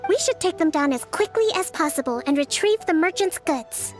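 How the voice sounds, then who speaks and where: A young female commander with a high, gentle voice. She is composed and confident, articulate and measured, formal but friendly, like a video game strategist. Music plays behind her. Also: animated. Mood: confident, encouraging. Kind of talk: video game character dialogue.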